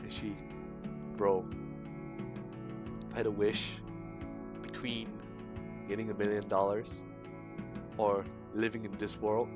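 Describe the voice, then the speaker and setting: A man streaming a visual novel read-aloud, halting and repeating words, with casual asides to chat. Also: on the low side, flat delivery, music in the background. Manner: halting, stumbles and repeats words while reading.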